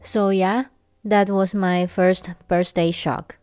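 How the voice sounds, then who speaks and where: A young woman from Taiwan, hosting a casual solo podcast and telling stories slowly with light humor. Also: medium pitch, fairly even intonation, darkish tone, clean tone, no echo. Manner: slow, measured storytelling with light humor. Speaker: podcast host.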